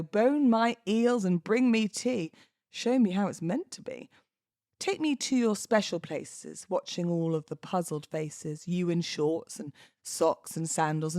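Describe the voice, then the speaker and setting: A British woman hosting a podcast, moving between informal talk and expressive readings of verse. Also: medium pitch, no room sound, studio quality. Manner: chatty, informal, giggly. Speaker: podcast host. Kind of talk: casual podcast chat with dramatic poetry readings.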